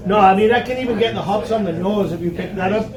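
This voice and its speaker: High-voiced man hosting a tasting. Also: fast. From Newcastle, England. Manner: chatty, jokey, hesitant fillers. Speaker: host of a beer tasting. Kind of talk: casual chat. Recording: echoey room, distant mic, crowd chatter.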